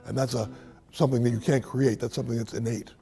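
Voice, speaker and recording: A man, a theater teacher and director, speaking in a measured, reflective way in an interview. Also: mid-range voice, fairly even intonation, vocal fry.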